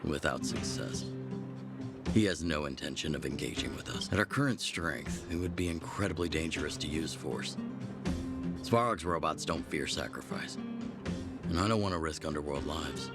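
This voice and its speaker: An actor playing a gruff veteran rebel chief in a video game, measured and authoritative, with game music behind. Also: male voice, rumbling low. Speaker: underground resistance chief.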